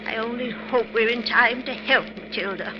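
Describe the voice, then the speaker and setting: An actress playing a sensible, worried lady sleuth with an earnest, brisk, fretful manner in 1950s radio mystery style. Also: a little high, close to an everyday voice. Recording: muffled, noisy 1950s radio recording.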